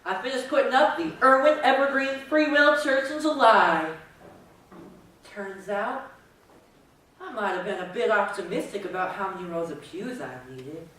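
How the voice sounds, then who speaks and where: A woman's voice: mid-range voice, normal speed, fairly bright tone, natural voice. A woman playing an earnest, lonely small-town preacher with rhetorical fervor on stage. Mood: earnest, rueful. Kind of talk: readers theater monologue. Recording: very echoey hall, distant, faint noise.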